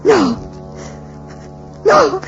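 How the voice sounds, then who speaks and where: A woman's voice: extremely high pitch, very animated, extremely slow, creaky, exaggerated voice. An actress playing a terrified, emotional young woman in a 1950s radio drama. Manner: breathless, emotional, crying out. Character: terrified young woman pleading with an alien robot. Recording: dull vintage radio recording with music behind.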